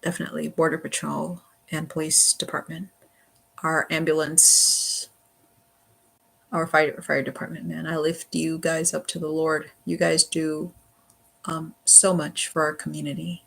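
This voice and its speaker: A livestream host talking casually and earnestly in a rambling, halting way, often repeating phrases and saying "you know". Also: mid-range voice, level tone, vocal fry, fairly bright tone, slightly rough, rough recording.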